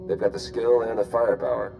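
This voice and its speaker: Wry spy guy, really low mellow voice, cool and confident, game cutscene. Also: sing-song, fast talker, vocal fry, soft background music, rough recording. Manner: dry, casual. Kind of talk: scripted game cutscene dialogue.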